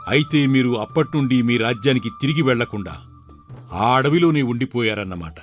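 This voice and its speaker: Male actor as storybook king: formal, authoritative, declamatory, mid-pitched voice over music. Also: a little fast, lightly acted. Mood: approving. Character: dignified king, questioning and authoritative. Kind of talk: dramatised Telugu folk tale.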